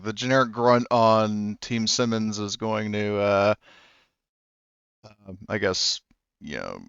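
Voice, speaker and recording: Slow, halting male game master. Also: lowish voice, fairly bright tone, smooth, dry recording, lo-fi sound. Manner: halting, many fillers and restarts. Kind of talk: running a tabletop game online.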